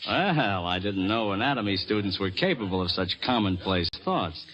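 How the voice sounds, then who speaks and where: Low-voiced, wry young medical student man acting. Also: expressive, normal speed, crowd noise, natural voice. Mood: dry, teasing. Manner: dry, genteel, formal. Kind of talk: old-time radio drama acting and narration. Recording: muffled old radio recording with music.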